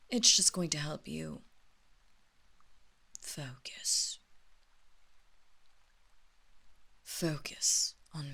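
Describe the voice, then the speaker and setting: A woman playing a haughty, seductive vampire lord in a roleplay audio drama, commanding and deliberate, drawing out words and slipping into breathy whispers. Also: deep voice, fairly bright tone, full character voice. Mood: seductive, hypnotic. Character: haughty, seductive female vampire lord, mistress of a great house.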